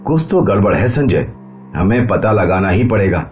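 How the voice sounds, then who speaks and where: A man's voice: fairly low pitch, expressive, moderate pace, a little mellow, faint music behind, lightly acted. A man voicing a suspicious, authoritative police inspector in a cartoon horror story. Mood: troubled. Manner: authoritative, questioning. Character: stern, suspicious, puzzled male police inspector.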